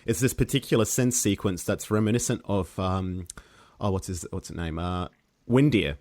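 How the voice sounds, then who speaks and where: Low-ish guy, serbian first language, rambly, lots of ums, dry close sound. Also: moderate pace, a little mellow, dry recording. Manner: rambling, many fillers and restarts. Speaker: musician guest. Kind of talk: casual interview chat.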